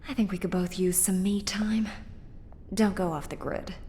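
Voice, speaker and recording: A woman's voice: mid-range voice, fairly even intonation, moderate pace, a little hiss or hum, natural voice. A woman playing a cool, commanding boss in a video game, speaking with dry, condescending sarcasm. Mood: cool, mocking. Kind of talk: video game character acting. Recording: long reverb under loud music.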